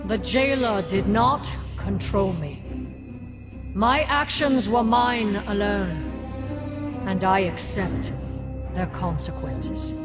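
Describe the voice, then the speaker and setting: A slow, proud elven warrior. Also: female voice, medium pitch, expressive, loud music behind the voice. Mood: grave, resolute. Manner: grave, deliberate, formal. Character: proud, resolute undead elf woman warrior accepting penance. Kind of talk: scripted fantasy game cinematic acting.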